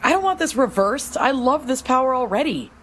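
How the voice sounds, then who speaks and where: A woman's voice: high-pitched, very animated, a little fast, fairly bright tone, breathy edge, heavily acted. A woman playing an eager, stubborn character with new powers in an audio drama. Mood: hurt, insistent.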